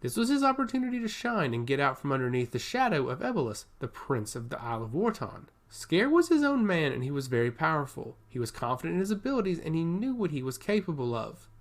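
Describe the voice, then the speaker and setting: Man narrating fantasy, lively swooping pitch. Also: fast, few pauses. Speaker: audiobook narrator. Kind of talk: scripted fantasy story narration.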